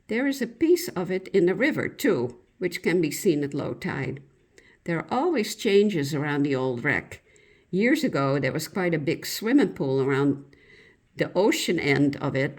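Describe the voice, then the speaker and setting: A woman with a slightly low voice reads a reminiscence aloud as narration. She takes it very slowly and deliberately, with lots of rise and fall in her pitch. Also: a little mellow. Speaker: reader of a written local history account.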